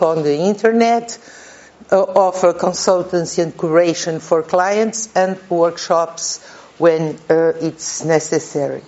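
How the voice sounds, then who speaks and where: A Brazilian woman professor with a Portuguese accent gives an informal talk at a conference, unhurried and full of hesitant fillers. Her speech comes through a slightly muffled, lo-fi recording. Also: fairly low pitch, a little mellow. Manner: halting, many uh fillers and restarts. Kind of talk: informal academic presentation. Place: conference room.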